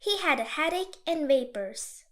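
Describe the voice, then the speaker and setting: Woman, high voice, even clear dictation reading, each sentence read twice. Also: unhurried. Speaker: dictation reader.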